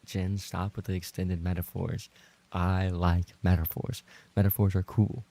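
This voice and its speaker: A man's voice: sits low, little pitch movement, unhurried, creaky, warm tone. A man livestreaming and chatting casually with his viewers, bantering in a playful, mock-indignant way. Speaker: live streamer and voice actor.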